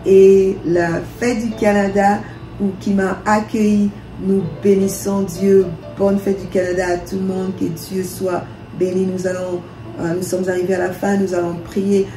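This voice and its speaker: A woman's voice: medium pitch, flat delivery, measured pace. A woman from DR Congo, a minister, leading prayer with fervent, repetitive declarations. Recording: echoey room with soft music.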